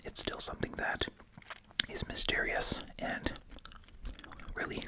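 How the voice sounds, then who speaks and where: An ASMR host speaking entirely in a soft, breathy whisper, musing casually while chewing gum. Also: a little slow.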